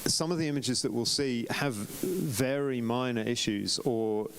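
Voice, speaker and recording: A man's voice: mid-range voice, unhurried, slight background noise. A man reviewing competition photographs on a live stream in a chatty way, often restarting sentences with ums. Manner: chatty, self-correcting, frequent ums and restarts. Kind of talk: live-streamed club critique.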